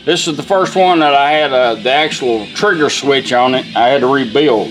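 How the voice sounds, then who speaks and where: A man casually demonstrating restored equipment in an informal, folksy, unhurried way. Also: medium pitch, a bit bright, faint music behind, poor audio. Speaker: radio restorer demonstrating a product.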